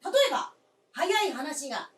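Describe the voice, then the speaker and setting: A woman's voice: high voice, big pitch swings, a little slow, far from the mic. A woman voicing an earnest, persistent adult son in a radio drama, with polite, formal insistence. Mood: pleading, insistent. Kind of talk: live radio drama acting. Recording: roomy stage recording from afar.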